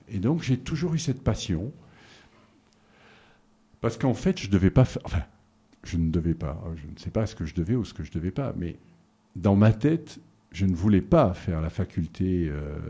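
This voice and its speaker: A middle-aged man from southern France answers interview questions in a very low, dark and mellow voice. He speaks hesitantly, pausing on fillers and restarting his sentences as he recalls his past. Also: expressive, husky. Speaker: interview guest. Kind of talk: relaxed conversational interview.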